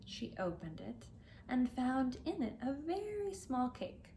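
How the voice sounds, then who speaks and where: Female English teacher and read-aloud host, expressive and careful in diction, faint noise behind. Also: high-pitched, slow pace. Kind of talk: storybook read-aloud for language learners.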